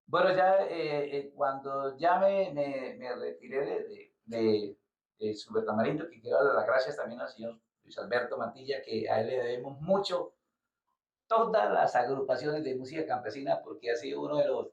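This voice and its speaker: Colombian-born guy chatting in an interview, gravelly, keeps repeating words, far off mic in an echoey room. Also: mid-range voice. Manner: halting, repeats words while searching for them. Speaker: guest musician. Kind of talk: casual interview. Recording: roomy, distant, dull lo-fi sound.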